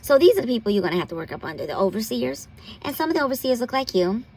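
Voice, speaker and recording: Lively, gravelly American woman giving blunt advice. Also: a little high, racing, low-quality recording. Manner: blunt, profanity-laced, folksy terms of address. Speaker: health commentary host and nurse practitioner. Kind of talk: candid, informal advice monologue.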